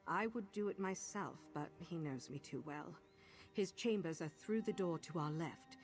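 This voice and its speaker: Low-voiced, plucky female ally played by a woman. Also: normal speed, darkish tone, soft background music, close to an everyday voice. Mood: conspiratorial. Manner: familiar, confiding, practical. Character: restless, plucky female rebel ally plotting infiltration. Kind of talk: scripted video game dialogue.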